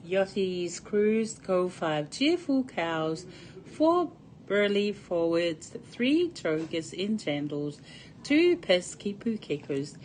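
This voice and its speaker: A woman's voice: medium pitch, darkish tone, slight background noise, poor audio. A woman reading a picture book aloud to children in a sing-song storybook cadence. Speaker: storytime reader.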